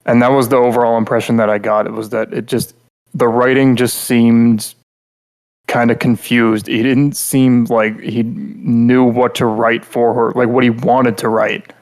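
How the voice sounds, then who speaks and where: A male podcast co-host giving casual, opinionated critique. His voice sits at an average pitch, and he talks bluntly in a rambling, filler-heavy flow, circling back to restate his points. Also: little pitch movement, measured pace, a little mellow, low-quality recording.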